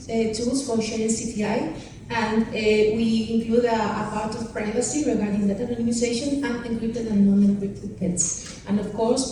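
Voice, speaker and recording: Woman pitching at a conference, kinda high voice, stops and restarts a lot, heard from far back in a big echoey room. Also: warm tone. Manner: hesitant, many fillers and restarts. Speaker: conference presenter. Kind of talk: short pitch presentation. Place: conference hall. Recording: echoey hall, mic far off, noisy.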